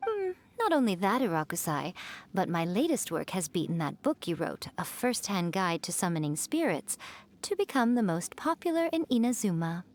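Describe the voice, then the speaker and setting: A woman voicing a composed, sly female fox priestess in a game, smooth and knowing. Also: on the low side, big pitch swings, normal speed. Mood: smug. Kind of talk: game voice acting.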